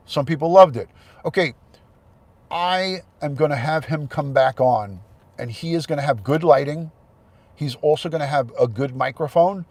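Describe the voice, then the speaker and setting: A man's voice: medium pitch, darkish tone. A man hosting a casual livestream, congested with a head cold, chatting and thanking viewers by name. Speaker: livestream host.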